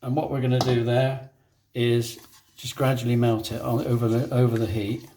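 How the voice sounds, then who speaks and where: A man's voice: mid-range voice, level tone, moderate pace, warm tone, slight background noise, rough recording. A man demonstrating a dessert in a kitchen, talking through each step with jokes. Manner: chatty, jokey, narrates each step. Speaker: guest cook. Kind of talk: cooking demonstration chat.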